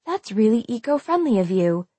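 A woman's voice: a little high, big pitch swings, moderate pace, dark tone, slightly rough, dry recording. Woman playing a sarcastic, combative teenage girl. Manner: biting, sarcastic. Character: sharp-tongued, combative teenage best friend, female. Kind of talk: audiobook character dialogue.

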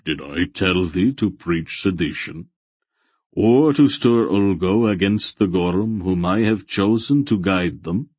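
A man's voice: low-pitched, a little slow, breathy edge, dry recording. A man voicing a stern, majestic old god in a fantasy audiobook, with solemn, archaic, commanding speech. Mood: grave reproach. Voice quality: soft, solemn voice. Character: stern ancient bearded male god, grave and majestic.

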